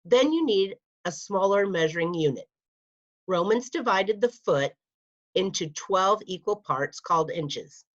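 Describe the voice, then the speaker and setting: A lower-pitched woman reads a children's fact book aloud at an unhurried pace. She phrases things deliberately for young listeners, like a school librarian. The recording is a little dull and lo-fi. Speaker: school media specialist reading aloud.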